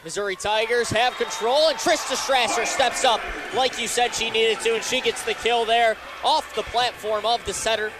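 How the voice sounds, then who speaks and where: A man's voice: very high-pitched, steady tone, normal speed, hardly any pauses, background noise. A young man calling live volleyball play-by-play as a radio announcer.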